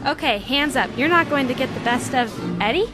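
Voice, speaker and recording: Woman playing a high-voiced, jealous, indignant sweetheart. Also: lively intonation, normal speed. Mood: threatening, flustered. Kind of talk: noir radio-play dialogue. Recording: music under the voice.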